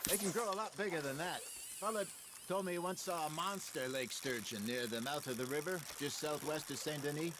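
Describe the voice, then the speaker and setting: A man's voice: on the high side, fairly quick, lightly acted. An actor playing a wry older male outlaw in a western game, a folksy, teasing fisherman and storyteller. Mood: reminiscing. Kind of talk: cinematic western game acting. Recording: clear outdoor ambience noise behind.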